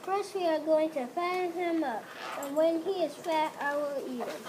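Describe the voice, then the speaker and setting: A woman's voice: high voice, moderate pace, background noise, pitched above the performer's own voice, close to an everyday voice. A female reader voicing a gnarled old witch woman in a storybook, bossy and wheedling, with a thin voice. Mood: bossy, menacing. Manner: commanding, wheedling.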